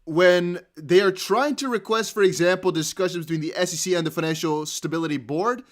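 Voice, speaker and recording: Male streamer with a Dutch accent, slightly high voice, excitable live commentary, laughs often. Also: a little fast. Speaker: crypto news streamer. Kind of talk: live commentary on a court hearing.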